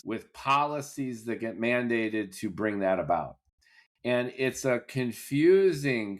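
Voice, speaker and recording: A man hosting a podcast, talking in a reflective, wordy way with drawn-out phrasing in a casual political debate. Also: mid-range voice, fairly bright tone. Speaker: podcast host.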